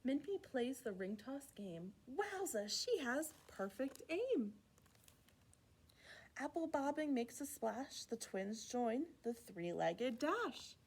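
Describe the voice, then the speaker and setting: A woman hosting a children's storytime, reading a rhyming picture book aloud in an expressive, playful way with asides to young listeners. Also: high-pitched, takes it slowly, breathy edge. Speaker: children's library storytime host.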